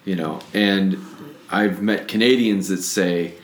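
An American man hosting a podcast, chatting in a relaxed way and telling stories with frequent restarts. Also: sits low, slow pace, roomy sound. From United States. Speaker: podcast host. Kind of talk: casual conversational podcast.

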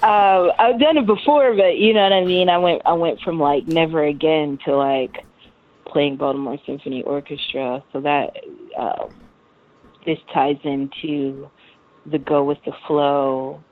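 American woman, 30, low voice, effusive slangy interviewee on a narrow phone-like line. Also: fairly even intonation, unhurried, a little hiss or hum. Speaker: interview guest, musician. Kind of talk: casual chat.

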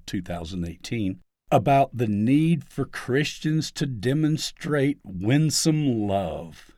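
A man reading a scripted devotional article aloud in a measured, earnest way, with emphatic phrasing. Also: on the low side, a little mellow, no room sound, clean studio sound. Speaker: article narrator.

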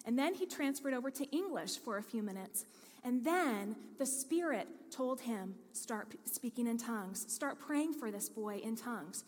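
A woman pastor preaching a sermon, telling a story and reading scripture with earnest, lively emphasis. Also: on the high side, normal speed. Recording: long hall reverb.

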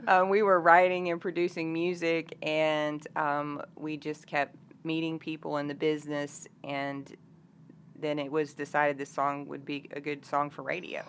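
A woman's voice: low voice, level tone, a little slow, a little hiss or hum. Woman in casual conversation. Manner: informal, conversational. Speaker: country singer, interview guest. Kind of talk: casual interview chat.

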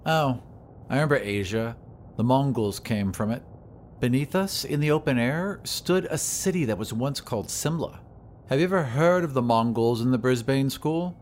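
A man's voice: mid-range voice, normal speed, slight background noise, lightly acted. Man voicing a polite, eager woman airship attendant. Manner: anxious to please, polite.

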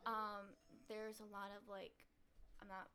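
A woman's voice: medium pitch, level tone, measured pace, bright, forceful tone. A teenage girl speaking as a guest in a casual chat, hesitant and full of fillers.

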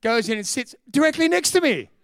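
An Australian man preaching through a comic anecdote, his voice high and smooth. He is chatty and animated, chuckling along with his own story. The sound is close and dry. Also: a little fast, dry recording. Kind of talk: humorous storytelling sermon.

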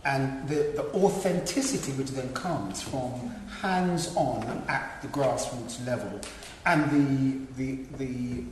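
Male panelist, middle-aged; earnest, stop-start delivery; roomy, reverberant hall sound with faint noise. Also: mid-range voice. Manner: earnest, halting restarts. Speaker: panel speaker. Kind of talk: panel discussion remarks. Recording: echoey hall with long reverb.

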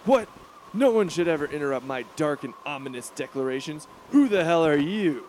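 A man's voice: a little high, lively intonation, moderate pace, darkish tone, slight background noise, natural voice. An actor voicing a spoiled, arrogant teenage rich boy in a comedic cartoon, petulant and pompous in manner. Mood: haughty. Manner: pompous, petulant, foul-mouthed.

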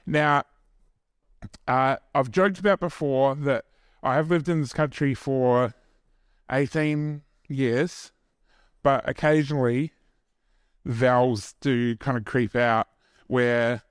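A man's voice: mid-range voice, steady tone, slow pace, long pauses, smooth, no echo, studio quality. A man with a New Zealand accent preaching an informal sermon at a church service, joking about himself and chatting with the congregation. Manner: self-deprecating and joking, chatty asides to the audience.

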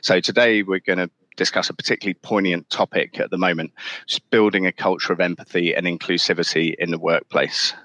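A man hosts a panel podcast in a very deep but bright, forceful voice. He introduces the guests politely and often fills pauses with "um". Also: no room sound. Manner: polite, steady, with frequent "um" fillers. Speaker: podcast host, moderator.

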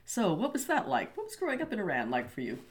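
Older woman, interview host, low pitch, chatty with many fillers. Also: huge pitch swings, fast talker. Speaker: podcast host. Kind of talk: casual interview.

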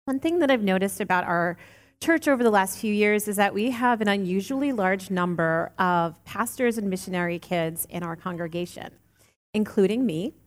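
Woman, late 30s, sermon, earnest, lightly humorous, mid pitch, long church reverb. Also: lively intonation, normal speed. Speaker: lay preacher. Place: church sanctuary.

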